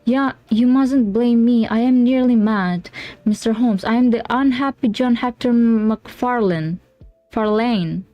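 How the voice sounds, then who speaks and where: A woman's voice: a little high, slow, dry recording, highs cut off. A woman voicing a frantic, pleading young male solicitor who talks in a rush and sounds breathless. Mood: desperate.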